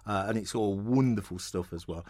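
A man's voice: on the low side, fairly quick. A male radio presenter interviewing a guest, chatty and enthusiastic. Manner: chatty, enthusiastic, warm praise. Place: radio studio.

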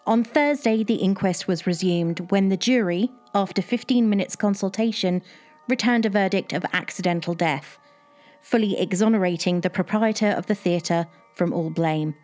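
A woman reading an old newspaper report aloud in a formal, even voice at a middle pitch. Faint music sits underneath her reading.

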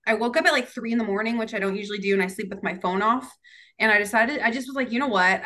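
A woman's voice: medium pitch, a bit bright. A young woman talking casually as a podcast guest, chatty and emotional, with many fillers. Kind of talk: casual chat. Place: San Diego.

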